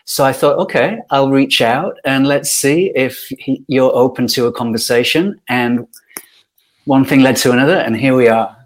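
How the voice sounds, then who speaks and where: Thoughtful middle-aged man. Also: mid-range voice. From Yorkshire, northern England. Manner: thoughtful and unhurried, with frequent ums and pauses. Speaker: podcast guest. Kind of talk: reflective personal storytelling.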